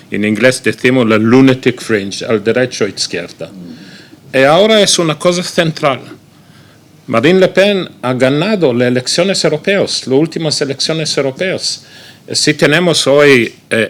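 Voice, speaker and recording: A man with an Italian accent gives a seminar talk in Spanish, which is not his first language. He speaks slowly and haltingly, filling his pauses with "eh". His voice sits at a middle pitch. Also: a bit bright. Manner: halting, with frequent "eh" fillers. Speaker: guest speaker.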